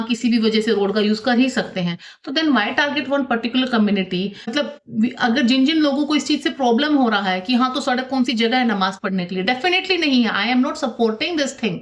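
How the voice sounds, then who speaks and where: Woman vlogger, highish voice, argues her point w rhetorical questions, bit of english mixed in, slightly dull audio. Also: normal speed, hardly any pauses. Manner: argumentative, rhetorical questions, mixes in English phrases. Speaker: vlog host. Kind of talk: opinion commentary to camera, conversational.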